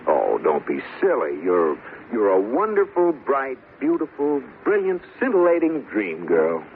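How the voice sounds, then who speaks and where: An actor playing a flustered, placating husband in an old radio sitcom, stammering and coaxing with some exasperation. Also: male voice, mid-range voice, wildly swooping pitch, measured pace. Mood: flustered reassurance. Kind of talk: 1950s radio sitcom acting. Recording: noisy, narrow old radio broadcast.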